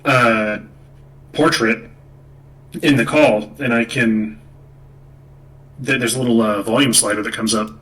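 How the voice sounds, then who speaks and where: A man's voice: medium pitch, fairly even intonation, unhurried, pauses often, a bit bright. A man co-hosting a film commentary over a voice call, joking and riffing casually. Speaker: co-host on a film commentary stream. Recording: distant, noisy voice-call microphone.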